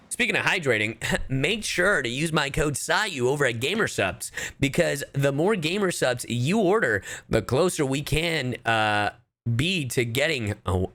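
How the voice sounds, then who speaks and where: A man livestreaming a game, chatting casually and goofily with his audience in an animated way. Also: medium pitch. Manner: animated, goofy, addresses chat directly. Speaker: livestreamer, VTuber. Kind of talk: casual livestream chat while gaming.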